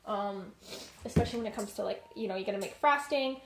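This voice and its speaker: A woman's voice: mid-range voice, fast talker, a little hiss or hum. Stuffy-nosed woman chatting while she cooks. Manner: chatty and rambling, many fillers and self-corrections. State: stuffy, slightly nasal from a cold. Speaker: cooking stream host. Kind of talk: casual live-stream chat while cooking. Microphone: low-set streaming mic.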